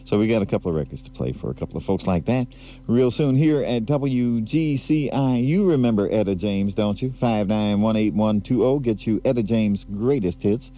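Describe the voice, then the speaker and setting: Low-voiced chatty radio DJ. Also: male voice, animated, normal speed, slight background noise. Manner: chatty, laughing, rambling. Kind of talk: casual on-air DJ talk. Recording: muffled 1980s AM/FM aircheck tape.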